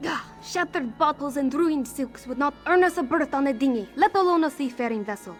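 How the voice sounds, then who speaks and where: A woman's voice: high voice, lively intonation, a little fast, faint music behind. A woman playing a wry, proud cat-folk smuggler with a theatrical, sardonic manner in scripted fantasy game dialogue. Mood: bitter, urgent. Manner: theatrical, sardonic, speaks of herself in third person.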